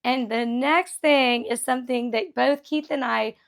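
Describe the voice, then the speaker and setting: Chatty high-voiced woman, slightly scratchy. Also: unhurried, vocal fry. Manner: chatty and enthusiastic, laughs often. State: slightly scratchy voice after a concert. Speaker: vlog host. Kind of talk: casual thrift haul chat.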